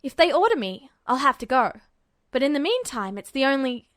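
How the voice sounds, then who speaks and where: A woman's voice: high voice, very animated, a little fast, fairly bright tone. A woman voicing a stubborn, defensive young male soldier in a story, with a stiff, griping manner. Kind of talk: audiobook character dialogue.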